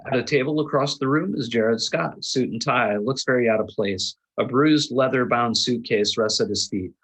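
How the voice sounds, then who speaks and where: A man reads out screenplay action lines as the narrator of a table read. His voice is middling in pitch with a husky grain. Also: moderate pace, fairly bright tone, rough recording. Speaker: narrator reading stage directions.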